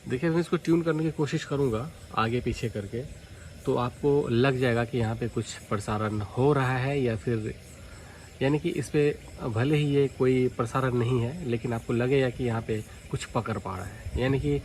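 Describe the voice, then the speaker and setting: A man's voice: medium pitch, moderate pace, a little mellow. A man with an Indian accent explains things casually to viewers in a vlog, often restating his points. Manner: explanatory, repeats points, addresses viewers directly. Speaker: vlogger, shortwave radio hobbyist. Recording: clearly noisy home recording, radio static.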